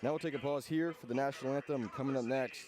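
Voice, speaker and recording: A man's voice: medium pitch, quick pace. A man giving live radio play-by-play commentary for a baseball game as the announcer.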